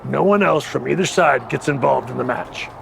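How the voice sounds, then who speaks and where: An actor playing a scheming male rival manager in a wrestling video game cutscene, calm and persuasive with a faint taunting edge. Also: mid-range voice, normal speed, vocal fry, slight background noise.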